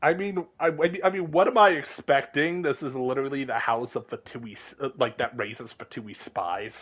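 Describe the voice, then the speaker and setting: A man's voice: mid-range voice, normal speed, fairly bright tone. A young man giving casual, playful gameplay commentary in a rambling, incredulous way. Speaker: game streamer.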